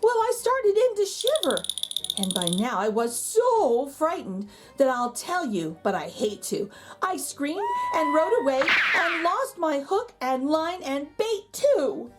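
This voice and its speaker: A woman's voice: sits high, big pitch swings, slow pace, noisy background, heavily acted. A woman voicing a jittery, easily frightened picture-book narrator, telling a rhyming tale with suspenseful, dramatic emphasis.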